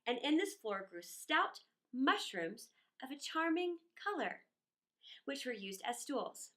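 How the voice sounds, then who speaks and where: Woman reading a storybook, high and swooping. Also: big pitch swings, slow, fairly bright tone. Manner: theatrical, playful, with conversational asides. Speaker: children's storyteller reading a book aloud. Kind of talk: expressive storybook read-aloud for children.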